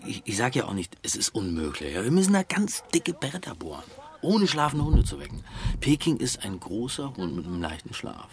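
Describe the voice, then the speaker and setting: An actor playing a self-righteous, insistent male politician who gets flustered and halting, in a naturalistic radio crime drama. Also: medium pitch, sing-song. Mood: persuasive.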